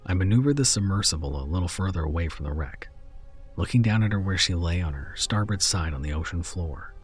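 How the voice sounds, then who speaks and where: A man narrating a first-person horror story in a measured, somber way. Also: low-pitched, expressive, faint music behind.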